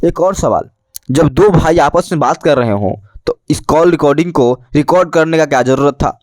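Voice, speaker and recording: A man's voice: on the high side, normal speed, darkish tone, no echo. Male online news commentator, dry close sound. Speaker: YouTube news channel presenter. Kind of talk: news commentary addressed to viewers.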